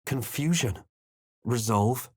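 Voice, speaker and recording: A man voicing a grave, watchful, wry spellcasting adventurer hero in a video game, with a measured, dramatic delivery. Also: mid-range voice, darkish tone, slightly rough, no echo, clean studio sound. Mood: somber, tense. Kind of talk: video game voice lines, dramatic narration and incantations.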